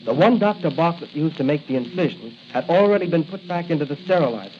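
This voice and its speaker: An actor playing a nervous, defensive hospital intern with a hesitant, protesting manner in a 1940s radio drama. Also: male voice, a little high, animated, fast talker, lightly acted. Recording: dull, hissy 1940s radio recording.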